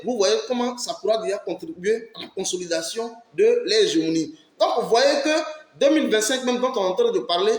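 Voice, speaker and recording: A man from Ivory Coast, giving impassioned political commentary on his own channel, emphatic and fond of rhetorical questions. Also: sits high. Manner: emphatic, rhetorical questions, urges listeners to listen. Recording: roomy echo.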